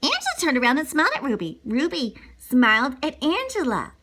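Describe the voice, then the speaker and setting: A woman's voice: sits high, wildly swooping pitch, brisk, heavy background noise. A woman reading a children's story aloud with playful, theatrical delivery. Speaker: storybook reader. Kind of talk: animated children's read-aloud narration.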